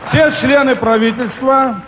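A man's voice: extremely high pitch, fairly even intonation, unhurried, rough recording. A man making a public address in an archive clip.

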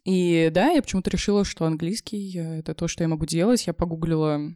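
A young woman, an English teacher, telling her story in a casual chat, with many fillers and quick laughs. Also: fairly low pitch, fairly even intonation, warm tone, dry recording, studio quality. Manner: fast, chatty, lots of fillers and laughs.

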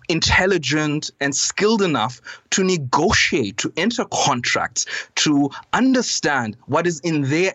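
A man from Zambia with a Zambian accent, speaking as a guest commentator in an earnest, measured way that builds to emphatic points. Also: a little high, a bit bright, dry recording, muffled recording. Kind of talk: conversational analysis on a podcast.

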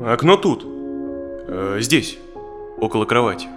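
An actor playing an uneasy therapy client in his thirties in an audio drama, speaking in a halting, reflective way. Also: medium pitch, sing-song, over music. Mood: reflective, tired. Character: uneasy, tired thirty-five-year-old male therapy client.

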